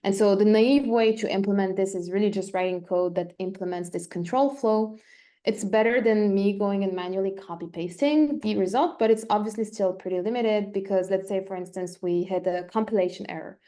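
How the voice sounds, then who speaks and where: Woman presenting, soft mellow voice. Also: mid-range voice, brisk. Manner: methodical, step-by-step explaining. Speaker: company presenter in a technical webinar. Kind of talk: explanatory webinar presentation.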